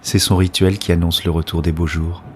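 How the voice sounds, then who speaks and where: A man playing an introspective, gently wry narrator in a science-fiction audio drama, speaking in a literary, observational way. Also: low-pitched, little pitch movement, brisk, a little hiss or hum, lightly acted. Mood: pensive, observant.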